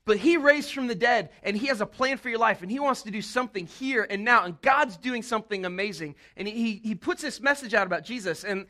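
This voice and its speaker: Bright-voiced man preaching casually. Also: high voice. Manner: casual, animated preaching with quick asides. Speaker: pastor. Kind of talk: sermon.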